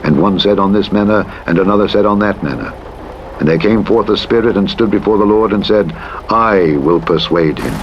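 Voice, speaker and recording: A man narrates scripture in a solemn, formal style, with measured and dramatic delivery. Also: deep voice, dull audio. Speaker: audiobook Bible narrator. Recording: loud truck engine noise over voice. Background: truck engine and vehicle sounds from driving simulator game.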